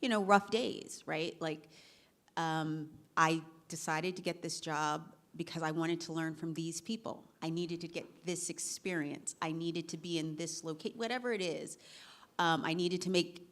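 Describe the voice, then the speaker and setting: Low-pitched woman panelist, conversational and quick with her restarts. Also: steady tone. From Virginia, US. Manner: chatty, self-correcting, quick bursts. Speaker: panelist, managing director. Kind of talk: panel discussion.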